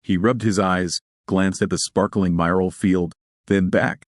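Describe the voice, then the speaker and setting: Low-voiced male story narrator, dry sound. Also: fairly even intonation, measured pace, no room sound. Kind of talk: scripted audio fiction narration.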